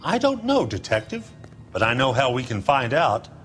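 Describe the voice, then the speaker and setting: A man's voice: medium pitch, huge pitch swings, moderate pace, fairly bright tone, slight background noise. An actor playing a slick, glib 1940s car dealer in a video game, with smarmy, chatty salesman patter.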